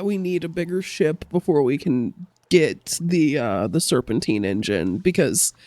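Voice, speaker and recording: A woman's voice: lowish voice, darkish tone, no room sound. Congested woman chatting over gameplay. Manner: chatty, self-correcting, thinking aloud. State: congested from a cold. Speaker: let's play host. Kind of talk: casual gameplay commentary.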